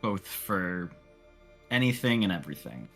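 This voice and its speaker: A man's voice: low voice, big pitch swings, unhurried, a bit bright, faint music behind. A man playing a tabletop game, playful, describing what his character does. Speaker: player.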